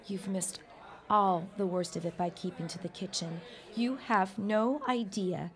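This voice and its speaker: A woman's voice: medium pitch, unhurried, close to an everyday voice. A woman playing an anxious, talkative 1910s bride-to-be in a period audio drama, wry and exasperated. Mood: exasperated gratitude. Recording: party crowd chatter behind.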